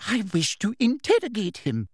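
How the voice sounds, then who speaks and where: A man voicing a furious middle-aged woman official with a sweetly girlish, shrill voice in an audiobook reading. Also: huge pitch swings, normal speed, breathy edge, no room sound, clean studio sound, exaggerated voice. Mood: shrill insistence. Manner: shrill, squawking and shrieking.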